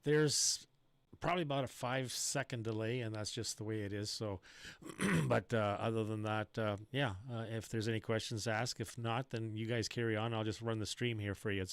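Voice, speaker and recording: A man's voice: on the low side, fairly even intonation, normal speed, a little hiss or hum. A man hosting a casual amateur radio chat from his home station, thinking aloud in an easygoing way while he adjusts his settings.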